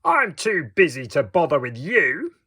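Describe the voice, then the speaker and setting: A man's voice: fairly high pitch, wildly swooping pitch, measured pace, pushed and bright, husky, no echo, clean studio sound, voice pushed up, exaggerated voice. A man voicing rude, selfish fairy-tale neighbours in a curt, dismissive, snappy way.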